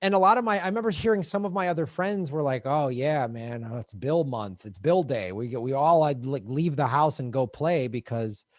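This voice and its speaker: Brief: middle-aged Midwestern guy, lively storyteller, laughs a lot, close dry mic. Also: medium pitch, brisk, few pauses, no room sound. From American Midwest. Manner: animated storyteller, laughs often, acts out voices. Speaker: podcast co-host, touring comedian. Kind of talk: casual chat.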